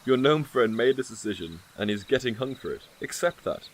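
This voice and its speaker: A man's voice: mid-range voice, lively intonation, fairly quick, slight background noise, lightly acted. A man playing a stern, hard-bitten detective in a fantasy audio drama, blunt and commanding.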